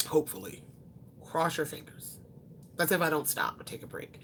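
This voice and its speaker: A woman's voice: sits low, animated, moderate pace, slight background noise. A woman in her twenties chatting casually with viewers and answering their questions, rambling with frequent ums and self-corrections. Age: 20s. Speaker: beauty vlogger.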